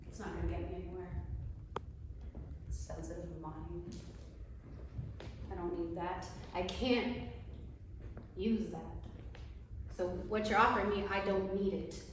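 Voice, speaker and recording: A woman's voice: lowish voice, steady tone, measured pace, pauses often, natural voice. Woman acting a brash young student, echoey distant recording. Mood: defiant. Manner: sarcastic, slangy, confrontational. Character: brash, defiant young female student needling her teacher. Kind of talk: one-act stage play acting. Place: live stage performance, recorded from afar. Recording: echoey room, distant mic, faint noise.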